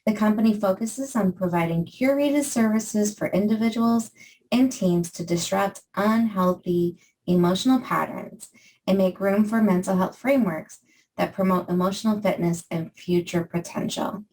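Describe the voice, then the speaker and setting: A woman's voice: mid-range voice, normal speed, far from the mic, rough recording. A woman from Maine hosting an interview podcast, asking questions with frequent fillers. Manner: warm hesitant questions with fillers. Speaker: podcast host.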